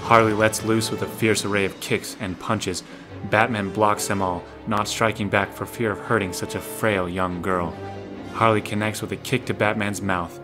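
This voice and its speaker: A man narrates an audio drama in scripted, present-tense action prose. His voice sits fairly low. Music is prominent underneath. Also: fairly even intonation, normal speed.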